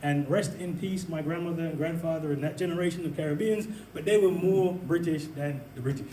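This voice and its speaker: A man giving an impassioned debate speech, punctuated by laughs and quick asides. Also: fairly high pitch, warm tone, a little hiss or hum. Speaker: invited speaker at a debate. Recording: echoey hall, mic from a distance.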